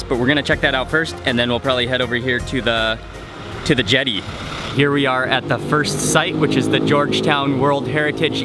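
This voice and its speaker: A man hosting a travel vlog, talking casually to the camera as he narrates his outing. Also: mid-range voice. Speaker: travel vlogger, host. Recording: music behind, outdoor street sounds. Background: music, street and vehicle sounds.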